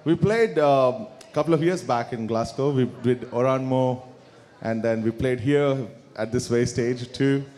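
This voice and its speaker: A man in his thirties with an Indian English accent speaks as a guest musician, his voice mellow and dark. He talks haltingly, with restarts and fillers. A long reverb trails each phrase in the large space. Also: mid-range voice. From South India. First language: Kannada. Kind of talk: interview answers. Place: large store event space.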